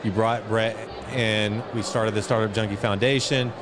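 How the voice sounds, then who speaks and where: A middle-aged man with a fairly low voice talks reflectively as a guest in an interview. He is recorded at an outdoor event, with clear street and vehicle noise around him. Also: fairly even intonation, normal speed. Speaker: guest, entrepreneur and foundation chairman.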